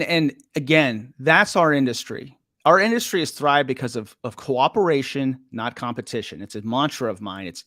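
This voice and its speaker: A man's voice: mid-range voice, a bit bright, no room sound. Enthusiastic middle-aged male host, dry sound. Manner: enthusiastic, with restarts. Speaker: podcast host. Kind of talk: conversational interview hosting.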